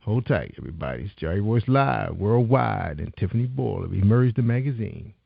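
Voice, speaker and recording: A man's voice: lowish voice, animated, brisk, vocal fry, breathy edge. A man hosting a radio talk show, chatting casually and encouragingly with a guest, full of "you know". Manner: laid-back, encouraging, lots of "you know". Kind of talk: casual interview chat. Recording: dull lo-fi sound, dry.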